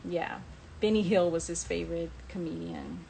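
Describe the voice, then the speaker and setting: A woman's voice: on the low side, steady tone, moderate pace, vocal fry, dark tone, a little hiss or hum, low-quality recording. A woman telling a personal anecdote in a casual, chatty way on a livestream, acting out bits of dialogue as she goes. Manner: chatty, acts out quoted dialogue. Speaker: author sharing personal memories on a livestream. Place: live social media stream.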